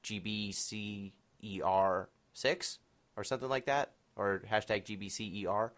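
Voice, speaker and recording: A man hosts a casual interview podcast in a fairly low voice. He moves between questions for his guests and informal announcements for listeners. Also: steady tone, a little slow, a bit bright.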